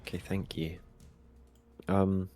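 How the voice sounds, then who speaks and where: A man playing a tabletop game, speaking in short, low-key, resigned remarks. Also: little pitch movement, slow pace, dark tone, faint music behind. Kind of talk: casual game talk.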